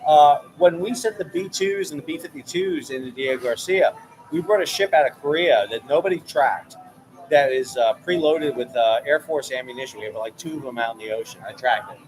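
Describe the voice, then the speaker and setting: A man's voice: a little high, fairly quick, poor audio. A man from New York, a military analyst who hosts a channel, talking casually and with opinions in a bar, often repeating words while he thinks. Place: bar, ambient noise.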